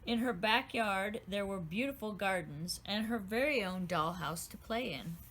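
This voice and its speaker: A woman reads her own children's book aloud, gentle and expressive. Her voice sits at an everyday middle pitch, unhurried storytelling. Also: a little hiss or hum. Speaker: author reading her book.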